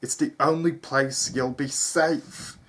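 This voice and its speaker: An earnest young nobleman in a fantasy storybook, read by the narrator, protective of a younger brother. He is anxious and sorrowful, speaking haltingly in a middling to raised voice. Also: medium pitch, steady tone, a bit bright. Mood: urgent worry.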